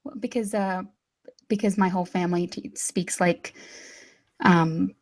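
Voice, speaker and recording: A woman's voice: on the low side, fairly even intonation, measured pace, darkish tone. Hesitant, sarcastic young woman. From Texas. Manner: hesitant, many fillers and restarts, dry sarcasm. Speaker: guest. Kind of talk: casual chat.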